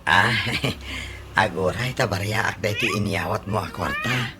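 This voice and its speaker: A man's voice: lowish voice, huge pitch swings, measured pace, pushed and bright, slight background noise, exaggerated voice. A man playing a brash, comic tricycle driver and eager suitor in an Ilocano radio comedy drama.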